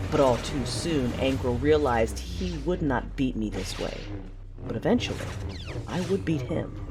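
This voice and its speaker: A woman plays a proud fallen warrior narrating with intensity and vengeful resolve. The delivery is measured dramatic storytelling in an audio drama, with music clearly underneath. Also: rumbling low. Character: proud, vengeful wounded warrior turning to the dark side.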